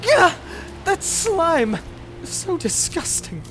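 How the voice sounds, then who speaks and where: A woman's voice: extremely high pitch, big pitch swings, extremely slow, over music, exaggerated voice, rough recording. A woman playing a distressed, complaining cave-dweller in a fantasy audio drama, exclaiming dramatically. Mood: disgusted, pained.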